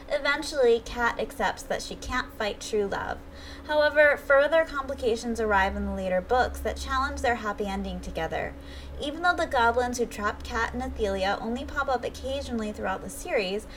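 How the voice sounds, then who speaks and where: A woman's voice: fairly high pitch, a bit bright, a little hiss or hum, low-quality recording. A woman narrating a scripted review as a channel host, speaking articulately in full, read-aloud sentences.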